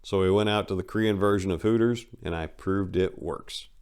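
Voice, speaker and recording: Low-voiced man giving a dramatic reading. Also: normal speed, a little mellow, clear, resonant tone. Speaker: channel host and reader.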